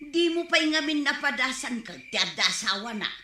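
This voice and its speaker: An actress playing a nagging wife hunting for her drunk husband, scolding shrilly and calling out loudly. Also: high voice, animated, normal speed, reverberant, a little hiss or hum. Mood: complaining. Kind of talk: Ilocano radio comedy drama acting.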